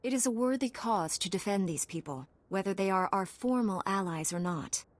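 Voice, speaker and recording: A woman playing a composed, dutiful knight in a video game, measured and assured. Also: medium pitch, expressive, close to an everyday voice.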